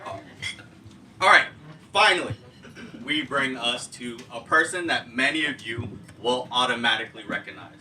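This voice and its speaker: A man's voice: mid-range voice, unhurried, sharp tone. A man moderating a live panel event, introducing speakers in an upbeat, scripted way. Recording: distant mic, faint background noise.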